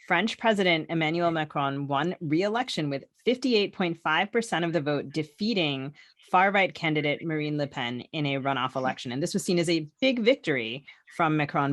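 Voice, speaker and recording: Female podcast co-host, low voice, dry close recording, wry and conversational. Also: no echo.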